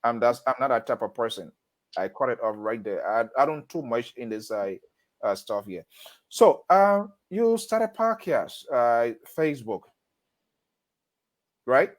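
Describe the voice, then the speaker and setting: A man hosting a radio interview, lecturing listeners in a stern way with sudden emphatic jumps in pitch. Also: lively intonation, a little slow. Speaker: radio host.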